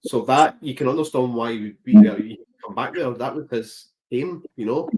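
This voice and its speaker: A man's voice: medium pitch, normal speed, warm tone, rough recording. A man, a paranormal investigator guest, explaining his theory in a thoughtful way and often saying "you know". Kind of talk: casual chat.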